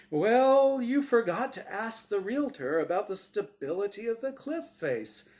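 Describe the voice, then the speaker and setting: A man voicing a glib, showy angel who hosts a game show, with booming announcer patter, in a story reading. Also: darkish tone, exaggerated voice.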